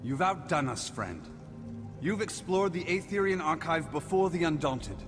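An actor as a friendly guild adventurer in a fantasy game. His high voice moves up and down expressively, formal but impressed, with reverb and loud music around it. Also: animated, moderate pace, natural voice. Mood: welcoming. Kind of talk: fantasy video game acting.

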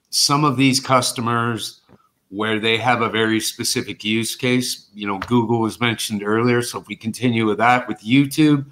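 A man answering on a video call panel, measured with frequent restarts. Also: medium pitch, sharp tone, rough recording. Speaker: product manager panelist. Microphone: video call webcam.

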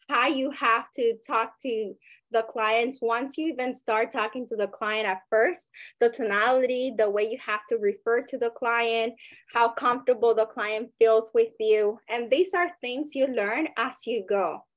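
A woman's voice: on the high side, a little slow, fairly bright tone. A young woman with a light Cuban accent, a guest broker talking casually and expressively on a video call from home. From Cuba.

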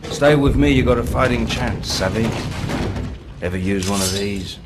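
An actor voicing a cocky, sly pirate rogue in a video game, glib and drawling, with mock-grand storytelling. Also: male voice, on the low side, normal speed, heavy background noise, close to an everyday voice. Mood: cocky, teasing. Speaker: narrator and lead character. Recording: music under the voice.

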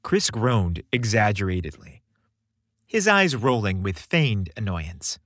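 Male narrator, scripted fiction, very animated pitch, dry close sound. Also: slow pace, no echo. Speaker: story narrator. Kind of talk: scripted audiobook-style narration.

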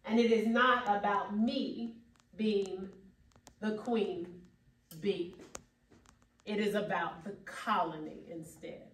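A woman's voice: mid-range voice, steady tone, slow, long pauses. A young adult woman giving an emphatic, preacherly keynote speech, at times rising to exclamations. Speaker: keynote speaker. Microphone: far camera at back of room. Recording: echoey hall recorded from far off.

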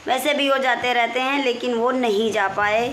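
A woman in her forties with a Kanpur Hindi accent, hoarse and husky, chatting in a rambling way into a phone held close in a small room at home, clearing her throat often. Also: fairly high pitch, fairly even intonation, normal speed, fairly bright tone, a little hiss or hum. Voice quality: husky, strained voice. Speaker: vlogger. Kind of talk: casual home vlog. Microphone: phone camera held close, selfie framing.

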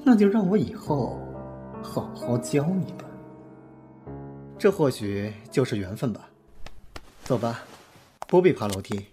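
A man's voice: medium pitch, lively intonation, unhurried, pauses often, music in the background, close to an everyday voice. A man acting in an audio drama as an arrogant, two-faced pop star with a haughty, suave manner. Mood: condescending.

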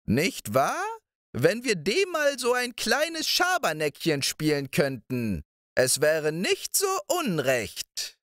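A man's voice: fairly high pitch, huge pitch swings, slow, fairly bright tone, no room sound, clean studio sound, pitched above the performer's own voice, heavily acted. A man voicing a wheedling old witch of the moor in a storybook reading, coaxing and sing-song.

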